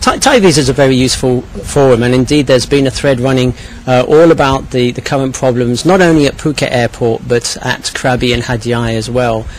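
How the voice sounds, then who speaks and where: A man with a mid-range voice explains things in a measured way, as an interviewee. He sometimes repeats a phrase as he goes. Clear ambient noise sits behind him on the location recording. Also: mid-range voice, poor audio. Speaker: hotel owner and tourist police volunteer interviewee. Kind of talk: spoken interview account.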